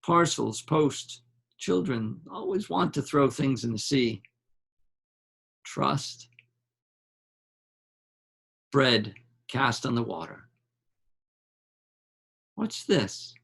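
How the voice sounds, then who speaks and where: A man reading a literary novel aloud in a measured, dramatic way, with deliberate pauses between short phrases. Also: mid-range voice, expressive, vocal fry, a bit bright, slightly rough.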